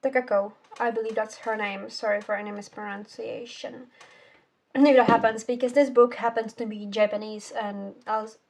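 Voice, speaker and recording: A woman's voice: fairly high pitch, steady tone, normal speed, vocal fry, darkish tone. A teenage girl whose first language is Czech, casually reviewing a book in a rambling, self-correcting way with casual swearing. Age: teens. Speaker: book vlogger.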